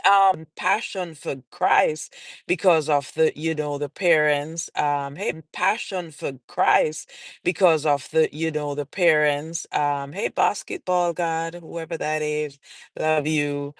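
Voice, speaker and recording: A woman's voice: sits low, no room sound. A Jamaican woman author answering viewer questions on a casual livestream, repeating phrases as she goes and greeting viewers. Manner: slow and unhurried, repeats and restarts phrases, greets live viewers.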